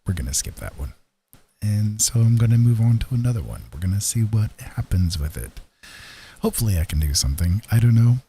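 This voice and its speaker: A man's voice: on the low side, very animated, brisk, dark tone, slight background noise. A man hosting a casual livestream, chatting in an easygoing, rambling way. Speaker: host, livestreaming painter. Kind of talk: casual chat.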